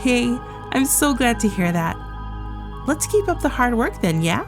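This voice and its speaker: Woman putting on an emotional, higher-pitched young woman. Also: sing-song, unhurried, music in the background. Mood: bright, hopeful.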